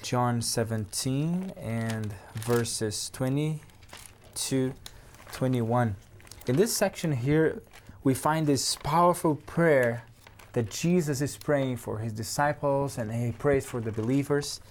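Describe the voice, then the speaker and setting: A man's voice: medium pitch, takes it slowly, a little hiss or hum. A young man from Brazil, a native Portuguese speaker with a Brazilian accent, speaking earnestly as a theology student guest. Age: young adult.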